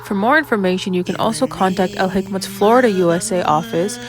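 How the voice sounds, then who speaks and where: A woman reading a promotional announcement in a brisk, informative way. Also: mid-range voice.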